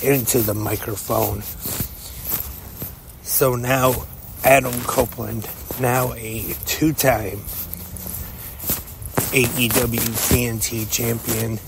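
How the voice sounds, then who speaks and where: A man with a stuffed-up, congested nose hosts a solo wrestling news podcast. He speaks very slowly in a flat, even tone. Steady background noise runs under his voice. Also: mid-range voice. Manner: slow, measured and even, with pauses. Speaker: podcast host. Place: walking to work outdoors.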